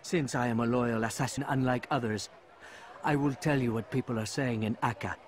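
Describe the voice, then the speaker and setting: An actor playing a smug, scornful assassin informant in a video game, with a taunting, condescending manner. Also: male voice, mid-range voice, fairly even intonation, a little fast, close to an everyday voice. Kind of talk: video game cutscene acting.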